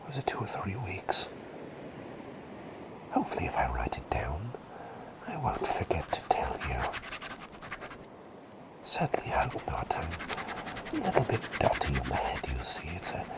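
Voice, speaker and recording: A man playing an eccentric, scatterbrained but gentle plague doctor in a soft, soothing, rambling whisper for an ASMR roleplay. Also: low-pitched, heavily acted, poor audio. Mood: scatterbrained, musing. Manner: rambling, soothing, softly repeating words. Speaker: ASMR performer.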